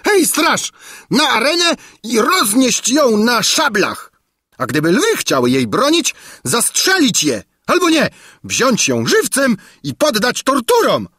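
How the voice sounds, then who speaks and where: A cruel tyrant king from a fairy tale. His voice shoots high and swoops wildly as he shouts imperiously, with a gravelly edge to the fury. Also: sing-song, unhurried, hardly any pauses, dry recording, clean studio sound, full character voice. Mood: enraged. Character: raging tyrant king, shrill, gloating and cruel. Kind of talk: theatrical fairy-tale storybook reading.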